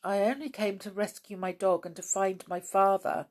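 A woman voicing a brave but frightened young tomboy girl in a storybook, speaking in a hushed, urgent, meek way. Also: mid-range voice, animated, slow pace, breathy edge, voice pushed up.

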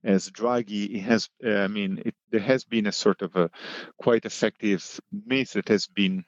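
Italian man, low muffled voice. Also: steady tone, moderate pace, dry recording. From Italy. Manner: thoughtful, many restarts and fillers. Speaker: book co-author, guest. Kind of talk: podcast interview answers.

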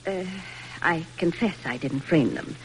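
Gentle, tactful wife, old radio drama. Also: female voice, sits low, expressive, measured pace. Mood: tentative. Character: gentle, tactful wife soothing her husband. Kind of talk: 1940s radio drama acting. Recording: noisy old radio broadcast recording.

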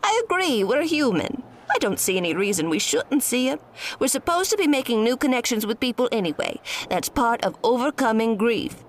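An actress playing a nosy, kindly older woman who worries aloud in quick strings of questions. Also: fairly high pitch, big pitch swings. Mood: worried. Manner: fretful rapid questions. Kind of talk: audio drama acting.

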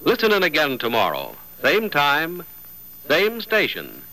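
A man's voice: on the high side, wildly swooping pitch, unhurried, pushed and bright, low-quality recording. A man announcing in a polished, emphatic 1940s radio style, reading scripted narration and sponsor copy.

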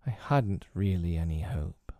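A man acts out a humble little storybook boy, bashful and gloomy. The words come heavily and hesitantly, in a soft, mellow voice recorded very dry. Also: low voice, animated, dry recording. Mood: resigned. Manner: heavy, slow, hesitant delivery.

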